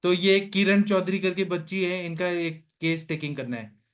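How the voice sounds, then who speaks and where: A man leading an online medical session, speaking bluntly with a run of rhetorical questions. His voice sits fairly high. The call audio is dull and lo-fi. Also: steady tone, moderate pace. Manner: direct, emphatic, rhetorical questions. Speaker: Ayurvedic doctor hosting an online consultation session. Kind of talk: casual instructive talk. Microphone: video call.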